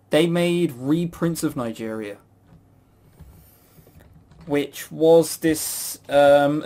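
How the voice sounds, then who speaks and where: A man's voice: medium pitch, vocal fry, a little mellow. A man hosting a casual chat about games, with a sarcastic, mock-grumbling manner. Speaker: podcast host. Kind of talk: casual chat reviewing visual novels.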